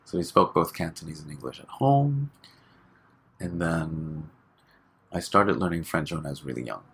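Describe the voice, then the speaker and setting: Deep husky man, chatty and bright. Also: normal speed. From Hong Kong. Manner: relaxed, chatty, laughs often, many fillers. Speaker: guest. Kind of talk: casual interview chat.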